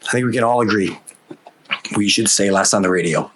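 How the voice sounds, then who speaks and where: A man's voice: fairly low pitch, fairly quick, fairly bright tone, slight background noise. A man hosting an opinion podcast, ranting casually in an exasperated, sarcastic way. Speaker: podcast host.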